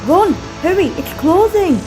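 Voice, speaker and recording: A woman's voice: very high voice, a little slow, low-quality recording. A woman playing a frantic, defiant young heroine in a fan audio drama. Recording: music behind the voice.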